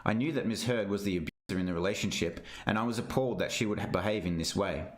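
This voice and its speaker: A man reading a legal document aloud in a formal, measured narrating manner. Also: on the low side. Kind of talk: formal read-aloud narration of a witness statement. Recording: roomy, echoing sound.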